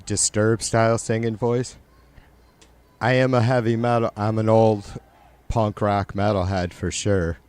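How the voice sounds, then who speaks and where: Low, nasal, raspy man chatting. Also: little pitch movement, unhurried, darkish tone. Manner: rambling, profane, loose. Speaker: livestream host. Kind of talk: casual chat with live viewers.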